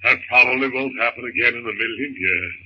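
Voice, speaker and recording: An actor playing a calm, reassuring young husband and father in a 1930s radio drama. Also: mid-range voice, brisk, lightly acted. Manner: gentle, breezy, reassuring. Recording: noisy, muffled 1930s radio recording.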